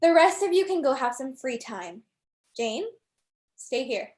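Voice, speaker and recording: Actress as woman therapist, fairly high voice, firm, measured, stern, stage play reading. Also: sing-song, a little mellow. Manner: measured, admonishing, questioning.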